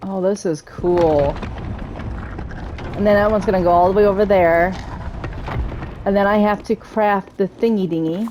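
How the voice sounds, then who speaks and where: A woman's voice: medium pitch, steady tone, moderate pace, long pauses, darkish tone, muffled recording, slight background noise. A woman casually commentating a video game as its host, chatting and thinking aloud and sometimes reading the on-screen text.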